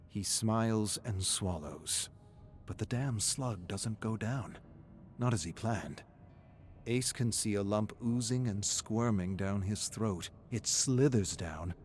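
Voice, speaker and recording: A man's voice: fairly low pitch, a little mellow, slight background noise. A man reading scripted narration in a theatrical, tense storytelling manner.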